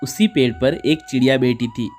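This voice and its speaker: A man's voice: medium pitch, normal speed, darkish tone. A man narrating a scripted children's moral story. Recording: music under the voice. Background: background music.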